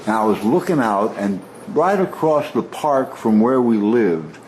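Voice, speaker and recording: A man from the Washington DC area in his sixties, a veteran radio broadcaster telling stories in a relaxed interview, often restarting his sentences. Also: mid-range voice, measured pace, a little hiss or hum, low-quality recording. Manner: warm reminiscing, frequent restarts and soft laughs.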